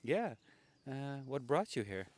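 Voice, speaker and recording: A man's voice: mid-range voice, big pitch swings, slow, a little mellow, no echo. A man hosting a conversational podcast interview outdoors on a public bench, talking casually.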